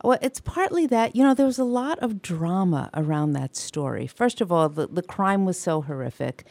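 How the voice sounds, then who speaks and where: An older woman guest on a radio talk show recounts a story in an earnest, loud voice. Her tone is mellow and slightly low. The sound is close and dry. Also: animated, moderate pace, creaky, no room sound. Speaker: guest author. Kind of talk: radio interview answers.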